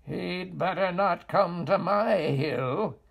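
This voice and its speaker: Man acting feisty old witch, husky and drawn-out. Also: sits high, pitched above the performer's own voice, full character voice. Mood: annoyed, determined. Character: old witch of the hill, feisty woman, scrappy and indignant.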